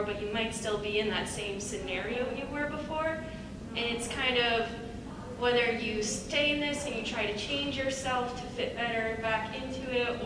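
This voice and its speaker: A woman on a student writers' panel, giving a reflective answer about a script. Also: a little high. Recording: echoey hall from far off, noisy.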